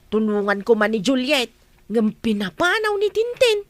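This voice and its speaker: High-voiced gossiping village woman. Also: big pitch swings, normal speed, no room sound. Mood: insistent gossip. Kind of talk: radio drama acting in Ilocano.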